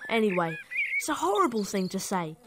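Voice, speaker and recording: A woman voicing an excitable, indignant young schoolboy wizard in a video game, blunt and animated. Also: mid-range voice, fairly quick, background noise, lightly acted. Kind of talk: video game cutscene acting.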